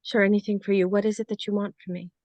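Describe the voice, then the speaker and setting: A woman's voice: mid-range voice, flat delivery, fast talker, darkish tone, no room sound, lo-fi sound, natural voice. A woman playing a loyal, feisty passenger on a hijacked jet, speaking emotionally in a script read. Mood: reassuring. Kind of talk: screenplay table read acting.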